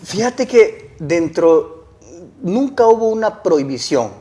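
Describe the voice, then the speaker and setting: A man's voice: high-pitched, lively intonation, slow pace, mellow. Earnest man telling his story. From El Salvador. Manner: earnest, explains with rhetorical questions. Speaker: guest. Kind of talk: casual interview storytelling.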